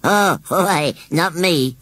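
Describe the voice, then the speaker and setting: A man voicing a shambling, touchy but kindly scarecrow come to life, with halting, stammering, drawn-out rustic phrasing in a storybook reading. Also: a little high, a bit bright, no echo, voice pushed up, full character voice. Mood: indignant, proud.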